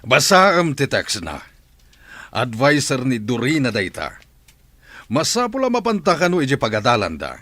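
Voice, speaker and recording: A stern, commanding father from an audio drama, as a man acts him out, with a bright, cutting tone and pitch that rises and falls a lot. The sound is dry and close, with faint music behind him. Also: huge pitch swings, normal speed, dry recording. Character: stern middle-aged father, head of the house.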